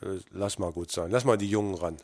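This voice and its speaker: A man's voice: low-pitched, fairly quick, a little mellow, dry recording. An older man in casual chat, agreeing readily and repeating short affirmations. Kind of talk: casual chat between two older workers.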